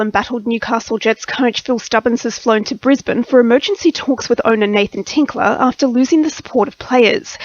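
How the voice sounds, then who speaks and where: A woman's voice: fairly high pitch, no room sound, highs cut off. A woman with an Australian accent reading a scripted sports bulletin on radio. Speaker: sports news reader.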